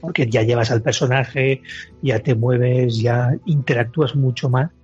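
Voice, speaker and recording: A husky man discussing games casually on a podcast, explaining in a hesitant way. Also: medium pitch, steady tone, unhurried, darkish tone. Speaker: podcast panelist. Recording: muffled podcast audio, music underneath.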